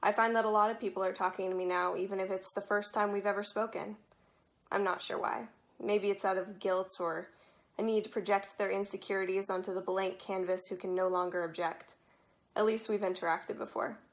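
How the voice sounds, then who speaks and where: A woman's voice: medium pitch, steady tone, brisk, lightly acted. A young woman playing a frank, wry teenage girl in an amateur drama, with a direct, dry delivery. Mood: gentle, wry. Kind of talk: amateur stage drama monologue.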